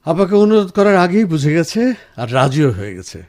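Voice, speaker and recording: A man playing a caring, reassuring husband in a radio drama, speaking in an earnest, measured way. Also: on the high side, sing-song, a little mellow, no room sound, clean studio sound. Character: caring, reassuring husband, senior professional, firm but gentle. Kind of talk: Bengali radio drama acting.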